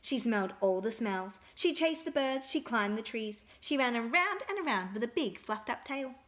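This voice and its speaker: A woman reading a children's picture book aloud with expressive, emphatic storytelling. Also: fairly high pitch, normal speed.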